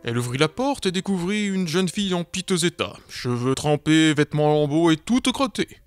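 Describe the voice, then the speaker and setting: A man's voice: a little high, big pitch swings, brisk, smooth, soft background music. A man narrating a cartoon fairy tale in a mock-solemn, playful way. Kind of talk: storybook narration.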